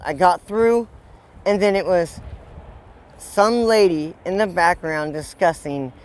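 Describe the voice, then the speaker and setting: A man's voice: high voice, slow pace. A man speaks as an interviewee in a news report. He tells his story conversationally, as a frustrated member of the public with a complaint. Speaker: unemployment claimant being interviewed.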